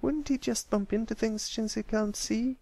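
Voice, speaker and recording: A man voicing a sweet, excitable and slightly timid little pony filly in a storybook reading. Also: high-pitched, moderate pace, gravelly, no echo, voice pushed up. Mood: questioning.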